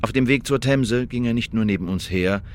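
A male actor in a radio play as an agent giving a deliberate, precise, emphatic witness report in the first person. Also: medium pitch, a little hiss or hum, lightly acted. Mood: vivid, recounting.

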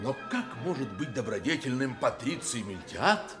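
An actor playing a pompous, indignant defence lawyer, declaiming theatrical rhetoric with drawn-out words in a satirical radio play. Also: male voice, medium pitch, sing-song, over music, close to an everyday voice. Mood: accusing, outraged.

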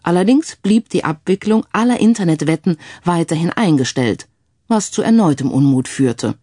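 Female crime story narrator, animated, mellow, recorded dry. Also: on the low side, moderate pace, no echo.

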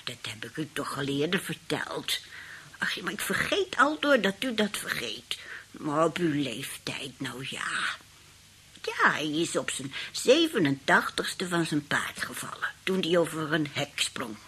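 A sly old aunt in a radio drama. Her low voice moves in animated swings with a clear, bright edge, as she rambles genteelly and plays helpless. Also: unhurried, exaggerated voice. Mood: gloating, sly. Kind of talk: radio play acting.

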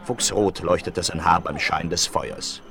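A man's voice: deep voice, measured pace, pushed and bright. A man narrating a western audio drama in a dramatic, scripted storytelling style. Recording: vinyl rip, faint music behind.

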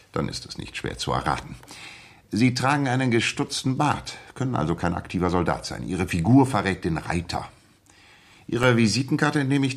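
An actor in a 1960s German radio play as a precise, coolly superior detective, measured, pedantic and dry. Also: male voice, low voice, husky, heavily acted. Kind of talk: 1960s German radio play acting and first-person narration.

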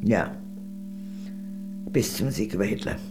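A woman's voice: rumbling low, measured pace, over music. An actress playing a worldly, gracious older lady, a former communist, in a radio play, speaking in a genteel, arch, confiding way. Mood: sober, reflective.

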